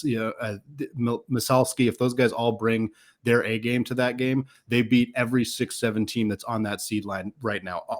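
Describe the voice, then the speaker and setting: A man hosting a sports podcast, analysing in casual talk that runs long and is full of qualifying asides. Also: mid-range voice.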